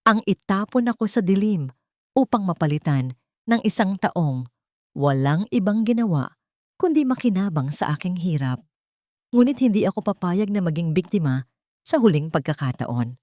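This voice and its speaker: Woman telling a dramatic story, lowish voice, really dry close sound, deliberate delivery. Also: darkish tone, no room sound. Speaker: audio story narrator. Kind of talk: dramatic first-person scripted narration.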